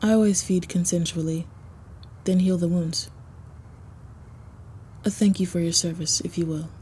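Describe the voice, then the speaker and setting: A woman voicing a confident, flirty vampire loner in a first-person roleplay audio, measured and self-assured. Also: fairly low pitch, fairly even intonation, a little mellow, breathy edge. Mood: brooding, calm.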